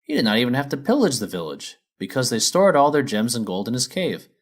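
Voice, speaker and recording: Guy narrating a kids storybook, normal pitch, really animated reading. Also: fairly quick. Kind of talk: scripted children's storybook narration.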